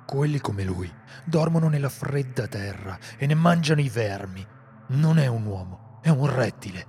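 A man's voice: mid-range voice, expressive, normal speed, darkish tone, slightly rough. A man voicing a stern male monster hunter who holds to the law, in an Italian radio drama, with a grave, firm manner.

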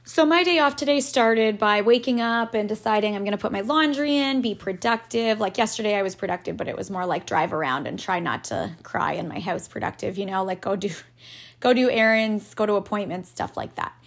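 Chatty woman with a raspy morning voice. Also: medium pitch, fairly quick. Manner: chatty, rambling, laughs often, swears casually. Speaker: podcast host. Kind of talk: casual solo podcast chat.

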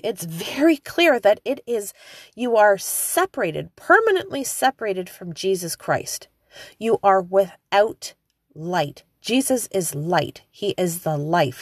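A woman in her forties preaching informally, turning impassioned with high emphatic calls and chatty fillers. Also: no room sound, clean studio sound. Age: 40s. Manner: conversational with frequent fillers.

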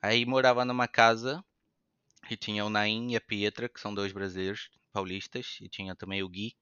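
A young Brazilian man with a light European Portuguese influence in his accent, a podcast guest telling his story in a lively, chatty way. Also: fairly low pitch, moderate pace, clean tone, no echo, muffled recording. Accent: Brazilian Portuguese with some European Portuguese influence. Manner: animated, chatty, acts out quoted voices. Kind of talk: casual storytelling interview.

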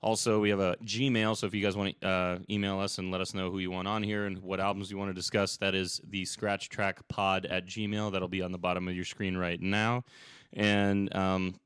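A man's voice: low voice, level tone, moderate pace, fairly bright tone, clear, resonant tone, no room sound, studio quality. A young man hosting a podcast interview, chatting casually and rambling with lots of fillers and restarts. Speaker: podcast host.

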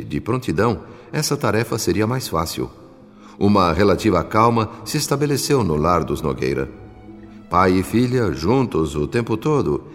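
A Brazilian man narrating a scripted radio drama in a solemn, measured, literary manner. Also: low-pitched. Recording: reverberant sound with music bed. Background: faint music.

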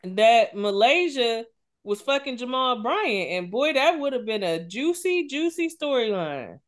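A woman's voice: a little high, sing-song, unhurried. A woman co-hosting a casual gossip podcast, blunt and emphatic, with plenty of swearing. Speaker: podcast co-host.

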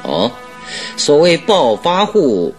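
An actor in a radio drama playing a genial, self-assured detective with a teasing, politely probing manner. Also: male voice, fairly high pitch, huge pitch swings, slow, faint music behind, heavily acted, rough recording. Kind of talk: Chinese radio drama acting.

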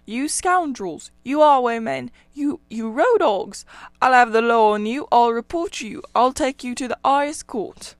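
A woman's voice: medium pitch, measured pace, dry recording, close to an everyday voice. A woman voicing a firm, practical male water rat who speaks sharply. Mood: furious.

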